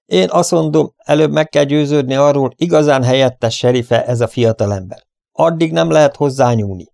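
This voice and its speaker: A man's voice: medium pitch, gravelly, darkish tone, voice pushed up, close to an everyday voice. A man voicing a reasonable, firm farmer in a western story. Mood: reasoning.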